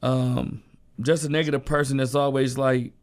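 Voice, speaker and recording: A man co-hosting a casual talk show, a bit hoarse from a sore throat, talking in a loose, laid-back way with lots of fillers. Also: medium pitch, flat delivery, moderate pace. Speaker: co-host. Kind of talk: casual podcast chat.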